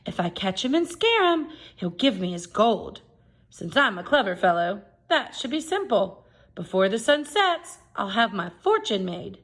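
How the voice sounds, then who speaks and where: A woman's voice: medium pitch, big pitch swings, measured pace, a bit bright, exaggerated voice. Woman reading as a young man, eager, cocky, self-assured, storybook hero. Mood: scheming. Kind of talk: storybook character voice.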